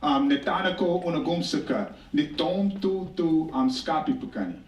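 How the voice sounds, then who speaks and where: A roomy, echoing recording of an American man giving a live talk, picked up from a distance. His voice sits quite high, and he speaks like an informal storyteller, with wry humour and repeated phrases for emphasis. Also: moderate pace, highs cut off. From United States, Northern Plains. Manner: conversational, rhetorical repetition, wry humour. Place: indoor stage talk in a roomy venue. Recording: roomy echo, distant microphone.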